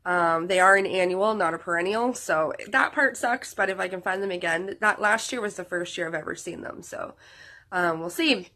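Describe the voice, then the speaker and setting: A woman in her mid-thirties chats casually to her channel's viewers, her voice nasal and worn from being sick. The tone stays bright, and she rambles along with plenty of fillers and restarts. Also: fairly low pitch, normal speed. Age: 30s. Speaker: vlogger.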